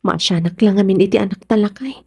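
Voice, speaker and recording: A woman's voice: mid-range voice, steady tone, quick pace, gravelly, darkish tone, husky. A woman with a Filipino accent playing a hardworking, worried wife and mother in a radio drama. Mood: worried, downcast.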